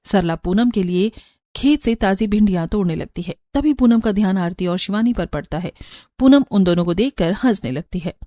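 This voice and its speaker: A woman's voice: mid-range voice, animated, normal speed, warm tone, no room sound, clean studio sound. A woman narrating a scripted cartoon story.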